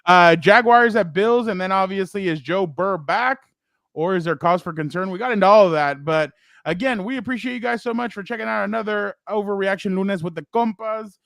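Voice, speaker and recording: Loud rambling man hosting a sports show. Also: sits high, fairly quick, vocal fry, no room sound. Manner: loud, rambling, laughs often. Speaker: co-host. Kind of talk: casual sports talk show.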